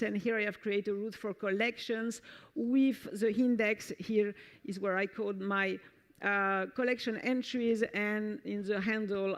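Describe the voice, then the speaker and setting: A woman with a French accent presents a technical conference talk, walking the audience through a live code demo. She sounds enthusiastic and often restarts her sentences or fills pauses with "uh". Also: medium pitch. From France. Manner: enthusiastic, frequent fillers and restarts. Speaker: conference speaker. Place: conference stage before an audience.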